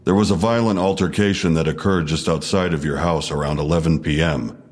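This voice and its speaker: A very low male voice plays a stern police detective in an audio drama. He questions in a measured, probing way, with a sardonic needle in his delivery. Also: fairly even intonation, a little mellow. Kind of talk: full-cast audio drama interrogation.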